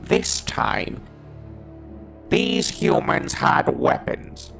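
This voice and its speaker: A man playing a hateful, captive alien war machine in an audio drama, with a harsh mechanical voice and slow, ominous, deliberate delivery. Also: sits high, over music, exaggerated voice. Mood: brooding, resentful. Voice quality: gravelly, harsh, mechanical monster voice.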